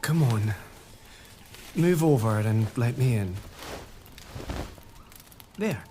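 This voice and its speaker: Casting: a warm-hearted laird soothing his wife, expressive delivery. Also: medium pitch, extremely slow, slight background noise. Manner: tender, reassuring. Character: devoted laird, gentle and teasing husband. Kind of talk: period audio drama.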